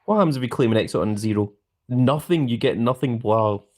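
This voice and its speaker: A man's voice: mid-range voice, fairly quick, darkish tone. A young man live-streaming a mobile game, chatting casually and reading viewers' comments aloud with frequent ums.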